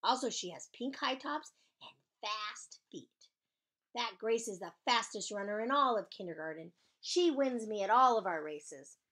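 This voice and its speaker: A woman voicing a chatty, bossy, excitable kindergarten girl who tells her own story in childlike, emphatic speech for a children's audiobook. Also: mid-range voice, normal speed, voice pushed up. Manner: childlike grammar, emphatic, dramatic. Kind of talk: first-person children's audiobook narration.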